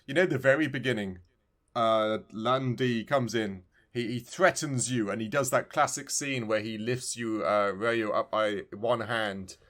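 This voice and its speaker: A man's voice: mid-range voice, unhurried, fairly bright tone. A man co-hosting a podcast, chatting casually about video games in an enthusiastic, rambling way. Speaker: podcast co-host. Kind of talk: casual video game discussion.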